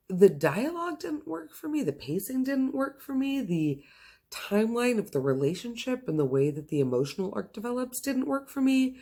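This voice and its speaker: A woman's voice: lowish voice, warm tone. A woman hosting a casual book recommendation vlog, speaking in an enthusiastic, gushing and emphatic way. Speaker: book reviewer host.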